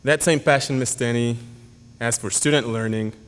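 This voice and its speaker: A young man, a graduating senior whose first language is Yoruba, reading a formal, earnest speech at a podium with halting pauses and fillers. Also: mid-range voice, steady tone, clear, resonant tone. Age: young adult. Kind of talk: prepared speech read at a podium. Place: school auditorium. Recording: hall reverb.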